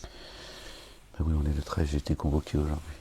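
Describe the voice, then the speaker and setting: A man who talks casually with wry, grumbling asides to his listeners, then reads scripture slowly and solemnly. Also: very deep voice, completely flat delivery, warm tone, in a whisper. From France. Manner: slow, measured recitation with Arabic invocations. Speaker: preacher reading scripture.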